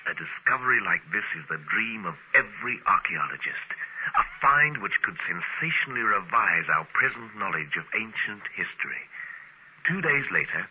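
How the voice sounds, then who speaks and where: Grave archaeologist narrator, low-pitched, dramatic, measured, old radio serial, muffled sound. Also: male voice. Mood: earnest. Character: serious male archaeologist recounting a strange, frightening adventure. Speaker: narrator and lead actor. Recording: muffled, noisy vintage radio recording.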